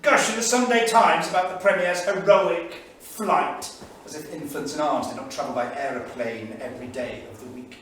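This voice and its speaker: A man's voice: a little high, moderate pace, few pauses, a bit bright, close to an everyday voice. A man plays a middle-aged writer and war veteran, anguished and scornful, declaiming his diary on stage. His delivery is sardonic and literary. He sounds distant in a very echoey theatre with faint noise. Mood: scornful outrage. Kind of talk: staged reading of dramatised diary. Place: small theatre studio.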